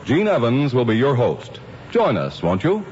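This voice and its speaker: A man's voice: medium pitch, huge pitch swings, unhurried, a little hiss or hum. An American man announcing in 1950s radio style, reading scripted credits in a formal, polished manner. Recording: muffled old radio recording under music. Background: loud music.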